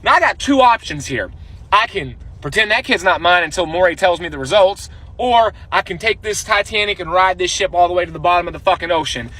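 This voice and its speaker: A man delivers a comic storytime monologue full of slang and swearing. His voice sits high for a man, with a sharp, forceful edge and a raspy grain. He sounds exasperated as he tells it. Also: brisk. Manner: profane, slangy, animated exasperation.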